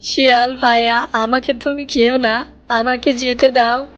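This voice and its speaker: A plaintive duck acted by a woman in a children's cartoon story, with a fairly high female voice. The duck sounds lonely and pleading, and soft music plays underneath. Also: little pitch movement, a little slow, highs cut off. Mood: frightened pleading.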